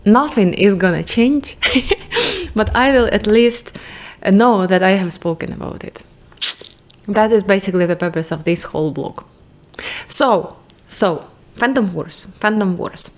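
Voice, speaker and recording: A young woman with a Ukrainian accent, talking casually to camera as a vlogger, thinking aloud in a rambling way with restarts and the odd swear. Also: medium pitch. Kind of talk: casual reflective monologue.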